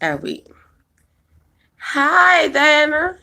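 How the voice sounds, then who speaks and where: Bright-voiced woman chatting with viewers. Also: sits high, sing-song, extremely slow, vocal fry. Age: 20s. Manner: chatty, laughs often, answers viewers by name. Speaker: vlogger reading live chat. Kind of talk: casual live-stream chat.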